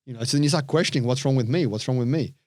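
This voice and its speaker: A man with an Australian accent tells his stories with energy as a podcast guest, slipping into the voices of the people he quotes. His pitch sits in the middle range. The sound is dry and close to the mic. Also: rapid-fire, dry recording. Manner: animated storyteller, acts out quoted voices. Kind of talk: storytelling interview chat.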